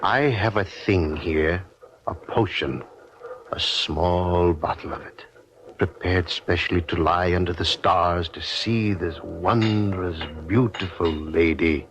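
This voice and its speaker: A schemer with a very deep voice, murmuring slowly as he coaxes the younger man and keeps calling him lad. The old broadcast has a faint hiss running underneath. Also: lo-fi sound. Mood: hushed. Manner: soft-spoken, coaxing, calls him lad. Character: scheming man poisoning his young brother-in-law. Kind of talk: 1950s radio drama acting.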